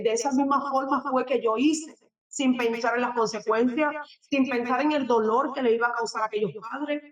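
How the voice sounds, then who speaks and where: A middle-aged woman from Puerto Rico with a Puerto Rican accent, giving a halting religious testimony that is full of fillers as an interview guest. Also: sits high, distant mic. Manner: halting, often tags sentences with a questioning filler. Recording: echoey room, speaker away from the mic.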